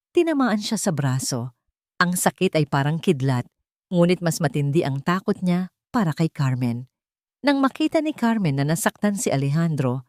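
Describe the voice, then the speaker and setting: A woman narrates a dramatic love story in scripted style. Her voice sits fairly low, and the recording is close and dry. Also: darkish tone, no echo.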